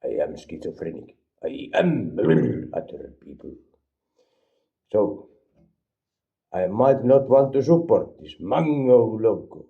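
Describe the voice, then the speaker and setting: A man from Finland with a Finnish accent, rambling deadpan through an absurd comedic product review and mixing languages. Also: on the low side, takes it slowly, gravelly, pauses often, muffled recording. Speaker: vlogger reviewing an energy drink.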